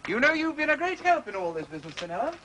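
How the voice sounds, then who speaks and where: An actor playing a courteous, gallant gentleman detective with an upper-class English accent in a radio drama. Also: very high voice, sing-song, very fast, noisy background. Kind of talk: British radio drama acting.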